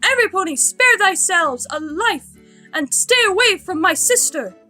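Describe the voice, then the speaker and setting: A woman's voice: extremely high pitch, expressive, normal speed, bright, forceful tone, soft background music, voice pushed up, exaggerated voice. A woman voicing an imperious, easily outraged pony princess in a storybook reading, theatrical and commanding. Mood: outraged yelling. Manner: theatrical, royal "we". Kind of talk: storybook fan fiction character voice.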